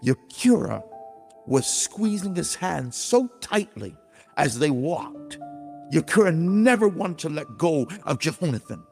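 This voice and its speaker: A man reading scripted, dramatized audiobook narration in a solemn, emphatic, deliberate storytelling manner. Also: on the high side. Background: music under the voice.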